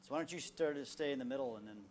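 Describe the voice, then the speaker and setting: A man on a conference panel answering audience questions, energetic and rallying, often restarting his sentences. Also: mid-range voice, very fast, heavy background noise. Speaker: panel speaker at education summit. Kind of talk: live Q&A answers on stage.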